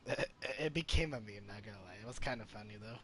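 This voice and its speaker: A man's voice: on the low side, fast, bright, forceful tone. Man casually streaming, noticeable background noise. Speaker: game streamer. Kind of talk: casual live gaming commentary.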